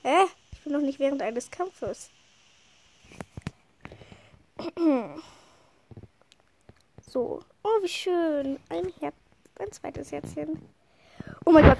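A woman's voice: high-pitched, slow, pauses often, dark tone, a little hiss or hum. A woman with a head cold giving casual, rambling commentary while she plays a game, sniffling and chatting with lots of fillers. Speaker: gameplay commentator.

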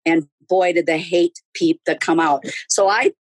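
Woman in her 60s, husky voice, dry close sound, easygoing storyteller who laughs a lot. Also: fairly low pitch, steady tone, measured pace, no echo, low-quality recording. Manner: chatty storyteller, laughs often. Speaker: podcast guest. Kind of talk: casual interview chat.

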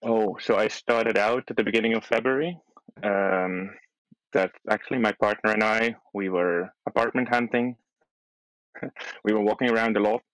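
A young man with a low voice, whose first language is Dutch, chats as a podcast guest. He often restarts his sentences and laughs softly between thoughts. The recording is dry and close. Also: moderate pace, no echo, rough recording. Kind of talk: casual chat.

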